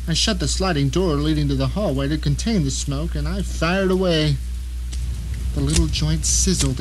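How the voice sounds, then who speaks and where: A man's voice: fairly high pitch, a little mellow, natural voice. An actor playing a resourceful, wry blind writer who narrates his own predicament in a theatrical audio drama monologue. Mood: conspiratorial. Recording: noisy 1990 radio drama mix.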